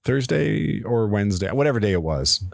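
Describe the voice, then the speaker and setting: A middle-aged man from Nova Scotia telling stories in a casual podcast chat, animated and swearing, jumping up high when he quotes people. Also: normal speed, creaky, no room sound, studio quality. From Nova Scotia, Canada. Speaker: podcast co-host.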